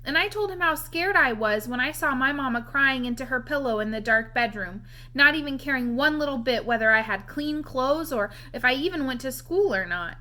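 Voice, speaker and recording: A woman reads a children's novel aloud. Her voice is high and bright. She narrates expressively, in the manner of a storybook read-aloud. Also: expressive, moderate pace, few pauses.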